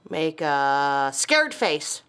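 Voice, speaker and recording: A woman's voice: low voice, sing-song, very slow, a bit bright, smooth. A young adult woman chatting playfully and casually with a small child, coaxing and prompting with simple questions like a mother.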